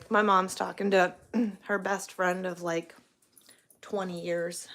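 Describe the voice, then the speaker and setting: A woman's voice: fairly low pitch, flat delivery, slow, fairly bright tone, a little hiss or hum. Chatty, candid woman in her forties. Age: 40s. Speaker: livestream host, truck driver. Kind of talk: casual live chat answering viewer comments.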